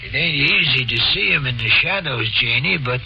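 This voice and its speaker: A gravelly old carousel hand. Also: male voice, medium pitch, lively intonation, normal speed, pushed and bright, soft background music, heavily acted. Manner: plain folksy talk. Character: gruff older male carousel hand. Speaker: carousel operator. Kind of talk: 1940s radio acting. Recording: muffled 1940s radio broadcast.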